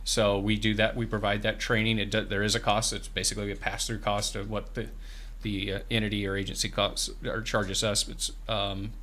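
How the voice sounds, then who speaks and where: A man's voice: lowish voice, level tone, brisk, bright, forceful tone. A man in his fifties, a guest in a small radio studio, explaining programs earnestly and often restarting his words. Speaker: farm bureau representative, guest. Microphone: studio microphone on boom arm, headphones.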